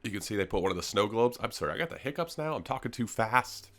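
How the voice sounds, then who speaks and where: A young man with a cold, his voice gravelly and nasal, giving an enthusiastic, rambling game walkthrough full of ums. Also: lowish voice, lively intonation, fast talker, fairly bright tone. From Canada. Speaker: gaming YouTuber giving a server tour. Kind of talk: casual gameplay commentary.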